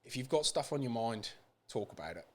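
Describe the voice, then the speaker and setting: British bloke as podcast guest, medium pitch, plain informal chat. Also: male voice, expressive, brisk. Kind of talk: casual chat.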